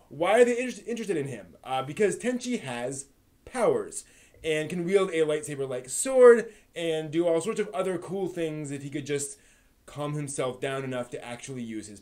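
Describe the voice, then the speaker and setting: A man's voice: on the high side, darkish tone. A man hosting a live stream, talking through anime reviews and discussion in a conversational way.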